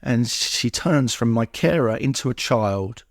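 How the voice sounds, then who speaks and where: A middle-aged man tells a personal story as an interview guest. His voice sits at an everyday male pitch and is recorded dry and close. He acts out bits of dialogue as he goes. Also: animated, unhurried, no echo. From England. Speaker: podcast guest.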